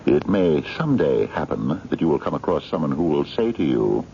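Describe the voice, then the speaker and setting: Mysterious courtly eccentric, radio drama, gravelly and formal, on a dull, noisy old recording. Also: male voice, rumbling low, very animated, brisk, dark tone, exaggerated voice. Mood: ominous, insistent. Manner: formal, hesitant, insistent, courteous. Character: mysterious, eccentric chess-playing gentleman, coaxing and courtly.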